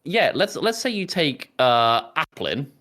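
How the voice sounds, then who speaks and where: A man's voice: fairly high pitch, very animated, takes it slowly, pushed and bright. A man co-hosting a podcast, explaining his point in casual chat with earnest persistence. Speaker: podcast co-host.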